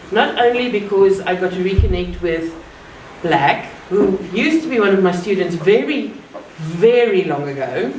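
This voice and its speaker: A woman from South Africa with an Afrikaans accent, giving an earnest, measured public talk with deliberate pauses. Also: mid-range voice. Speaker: guest speaker. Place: art gallery. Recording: roomy, distant mic, faint ambient noise.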